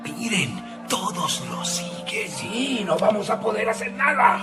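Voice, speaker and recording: An ancient townsman acted by a man in a Bible audio drama, creaky and swooping. He speaks slowly in an echoing space. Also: high-pitched, lively intonation, loud music behind the voice. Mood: resigned. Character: onlookers in ancient Jerusalem, pleading or resigned. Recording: roomy, echoing voice.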